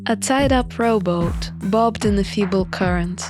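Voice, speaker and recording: A woman narrating a visual novel in an expressive, measured storybook style. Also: medium pitch. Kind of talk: expressive storybook-like reading of game text. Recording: music behind the voice. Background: music.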